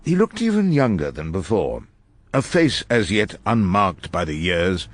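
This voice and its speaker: An actor playing an irascible, wry elderly English criminal barrister, narrating a radio drama in husky, literary, ornate phrases. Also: male voice, deep voice, big pitch swings, measured pace, exaggerated voice. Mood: wry, world-weary.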